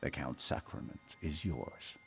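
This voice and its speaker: A man playing a calm, menacing assassin leader in a video game, speaking in a measured, formal, authoritative way with a husky voice. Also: a bass voice, full character voice. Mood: cold, commanding. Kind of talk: video game character dialogue. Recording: long hall reverb, music underneath.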